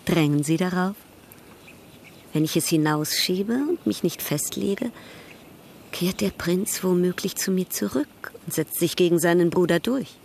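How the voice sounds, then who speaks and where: An actress in a German radio drama playing a proud, worldly European baroness, poised, arch and coolly ironic. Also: female voice, lowish voice, a little slow, vocal fry, a little mellow, slight background noise. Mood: proud, frank.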